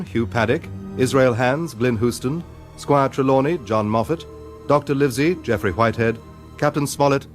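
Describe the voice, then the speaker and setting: A man reading out credits as a scripted radio announcement. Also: medium pitch.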